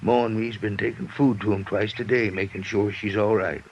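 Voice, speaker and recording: A man acts out a worried, folksy middle-aged father from the rural American backcountry, pleading in a gravelly, creaky voice. The old radio drama recording is muffled and hissy. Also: fairly low pitch, steady tone, moderate pace, close to an everyday voice. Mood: resigned. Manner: pleading, rustic speech.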